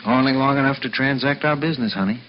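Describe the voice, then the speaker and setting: An actor playing a smooth-talking con man posing as a doting husband, in 1950s radio drama style. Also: mid-range voice, fast, natural voice. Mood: coaxing. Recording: muffled, hissy vintage radio recording.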